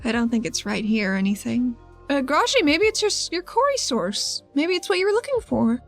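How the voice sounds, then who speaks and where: Expressive woman playing a tabletop game, higher-pitched. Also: a little fast, faint music behind. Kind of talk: casual in-game chat and roleplay.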